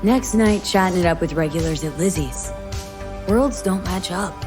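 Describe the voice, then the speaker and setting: Cool low-voiced mercenary woman played by an actress. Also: moderate pace, over music, close to an everyday voice. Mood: guarded, businesslike. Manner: dry, cool, understated. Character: wry, tough, guarded young woman mercenary. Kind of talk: dramatic video game acting.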